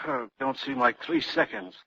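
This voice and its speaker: Grumbling trucker, noisy old radio. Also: male voice, mid-range voice, fairly even intonation, moderate pace, gravelly, bright, forceful tone. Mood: drowsy. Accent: American. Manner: slangy, grumbling wisecracks. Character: grumbling, sleepy, wisecracking married male trucker. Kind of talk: 1940s radio acting. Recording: noisy, muffled 1940s radio broadcast.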